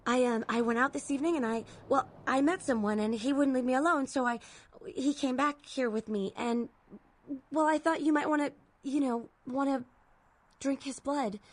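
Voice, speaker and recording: Devoted young servant girl for a vampire game: high, timid, faltering delivery, anxious to please. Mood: nervous, eager. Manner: hesitant, halting, eager to please. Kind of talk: video game voice acting.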